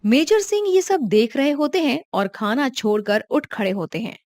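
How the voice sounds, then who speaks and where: Woman telling a cartoon story, calm and even, dry close mic. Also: high voice, normal speed, no echo. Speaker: narrator. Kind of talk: scripted storybook narration.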